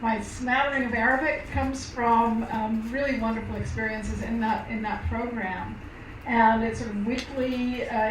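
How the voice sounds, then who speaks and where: Chatty woman moderator in an echoey room. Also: a little high. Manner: chatty, many fillers. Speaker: event moderator. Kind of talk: introducing a speaker. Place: university event room. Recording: echoey room from far off, noisy.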